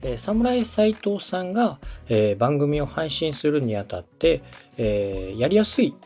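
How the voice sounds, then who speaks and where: A man's voice: on the high side, sing-song, a little slow, a little mellow, faint music behind. A man hosting and advising in a polite, encouraging way, explaining things step by step in a conversational coaching style. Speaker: podcast host and production adviser.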